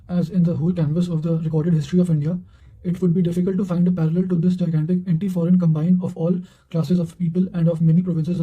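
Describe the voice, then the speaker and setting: A man with a fairly high voice and a very dark, relaxed tone reads study material aloud. His delivery is formal and flat in melody, with careful phrasing that often stumbles and restarts. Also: rough recording. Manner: careful, formal reading with frequent stumbles over words. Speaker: reader of history study material. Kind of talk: reading quotations aloud for exam revision.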